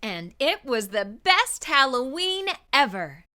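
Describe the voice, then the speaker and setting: A woman reading a children's picture book aloud as an expressive narrator. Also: high-pitched, slow. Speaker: children's story reader.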